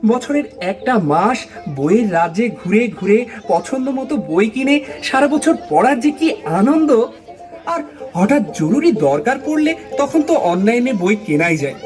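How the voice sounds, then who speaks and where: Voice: medium pitch, natural voice. An actor voicing a kindly uncle puppet in a children's puppet show, explaining things in an encouraging way.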